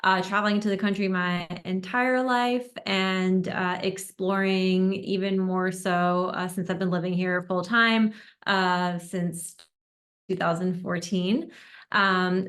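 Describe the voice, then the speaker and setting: Young american woman, presenting, friendly and halting, video call mic. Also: medium pitch, a bit bright. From United States. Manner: friendly, many ums and uhs. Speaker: tour destination expert. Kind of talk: webinar presentation. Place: indoors at home in a room.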